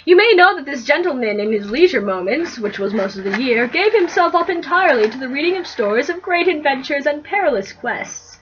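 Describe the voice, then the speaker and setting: A young woman, giggly, excitable and playful, chatting casually and reading aloud in an amateur way. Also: high voice, brisk, few pauses. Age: young adult. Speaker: co-host reading fan fiction aloud. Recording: muffled, noisy lo-fi home recording.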